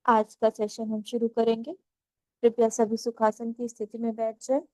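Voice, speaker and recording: Female meditation guide. Soft-edged dark tone, very steady pitch, calm and unhurried delivery. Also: no echo, poor audio. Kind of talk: slow guided meditation instruction.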